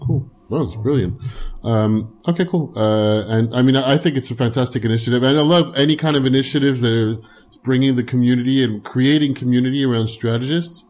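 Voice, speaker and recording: A man's voice: medium pitch, fairly quick, darkish tone. A man hosting a podcast interview, enthusiastic and rambling, with frequent affirmations and restarted sentences. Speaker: podcast host. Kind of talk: casual interview chat.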